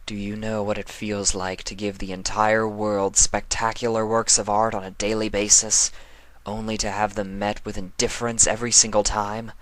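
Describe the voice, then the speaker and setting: Aggrieved dark alicorn queen for a cartoon story male performer, intense, theatrical, forceful edge. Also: on the low side, level tone, normal speed, no echo, lightly acted, poor audio. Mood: bitter, impassioned. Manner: dramatic, impassioned delivery. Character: female pony alicorn villain Nightmare Moon, aggrieved and intense. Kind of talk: fan fiction reading, cartoon villain.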